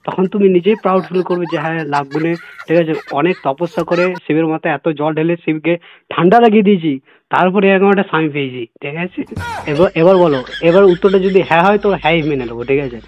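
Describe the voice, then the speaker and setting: Fast-talking man, dull recording. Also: a little high, slight background noise. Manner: sweet-talking, coaxing, repeatedly tags sentences with a check-in. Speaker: prank caller. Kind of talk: prank phone call chat.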